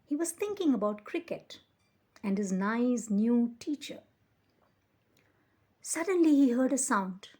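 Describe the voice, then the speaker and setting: A woman reading a children's picture book aloud as a storyteller, with expressive, deliberate emphasis. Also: on the high side.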